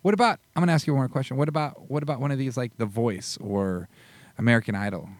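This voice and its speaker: A man hosting a podcast interview in casual chat, animated and swearing easily. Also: medium pitch, quick pace, no echo. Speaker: podcast host.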